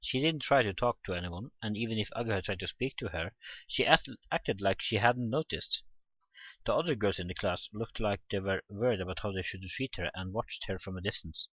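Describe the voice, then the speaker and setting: A man's voice: lowish voice, brisk, no echo, dull audio. A man reading a visual novel aloud for a let's play, informally, stumbling over the text and adding his own reactions. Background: visual novel game music.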